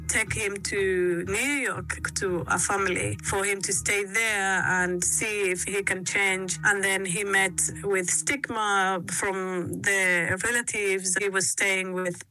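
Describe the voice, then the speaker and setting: Bright-voiced woman reporter. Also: medium pitch, little pitch movement, slow pace, hardly any pauses, faint music behind, rough recording. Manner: casual, with fillers. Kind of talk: reporting in conversation.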